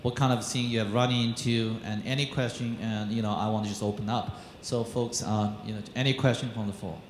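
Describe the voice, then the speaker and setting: A man's voice: on the low side, fairly even intonation, a little hiss or hum. Man speaking in a roomy conference hall. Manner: halting, many fillers and restarts. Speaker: panel moderator. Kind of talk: conference panel talk. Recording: echoey hall with faint ambience.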